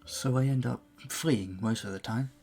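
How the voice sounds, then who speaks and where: A man's voice: mid-range voice, normal speed, gravelly, a little mellow, lightly acted. An actor playing a charming, flirtatious vampire bartender in an intimate audio roleplay, suave and teasing as he speaks to the listener. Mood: friendly, curious.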